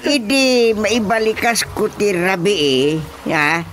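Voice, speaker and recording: An actor with a Filipino accent playing an excitable, teasing village chairman in a radio drama comedy. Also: mid-range voice, takes it slowly. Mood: amused. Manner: animated, exclaiming, teasing. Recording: radio drama with music underneath. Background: clear music.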